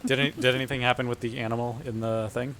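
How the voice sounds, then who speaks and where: A man's voice: mid-range voice, little pitch movement, very fast, a bit bright. A man playing a tabletop game and chatting casually with the group. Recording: clear background noise.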